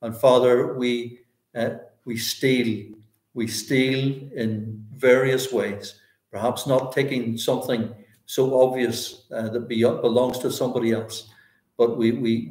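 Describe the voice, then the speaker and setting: Male pastor, 60s, Northern Irish accent. Unhurried, earnest public prayer in short halting phrases, recorded in an echoey room. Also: medium pitch, a little mellow. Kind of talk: extempore public prayer, church service. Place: indoors, small bare-walled room.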